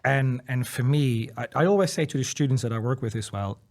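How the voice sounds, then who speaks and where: A man's voice: mid-range voice, fairly quick, darkish tone. A Dutch-speaking man, a guest in a casual interview, who talks earnestly and encouragingly. He often restarts his sentences and repeats words as he thinks aloud, and drops in light laughs between his points. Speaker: interview guest, dyslexia educator.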